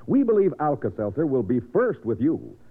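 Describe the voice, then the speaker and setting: A man's voice: mid-range voice, huge pitch swings, moderate pace, husky. An American man reading an old-time radio commercial as a polished, emphatic announcer. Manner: polished, emphatic salesman delivery. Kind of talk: 1940s-50s radio ad read. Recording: narrow, tinny old radio broadcast.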